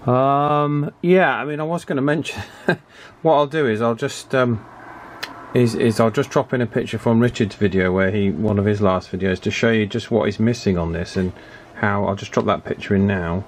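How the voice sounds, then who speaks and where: Low-voiced man over background noise. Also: normal speed. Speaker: radio repair hobbyist presenting his work. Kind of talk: casual hands-on repair commentary.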